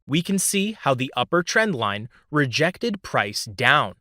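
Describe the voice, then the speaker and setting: A man narrates a scripted tutorial with lively, moving intonation. His delivery is measured and didactic, explaining step by step. The recording is dry and close. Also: medium pitch, no echo.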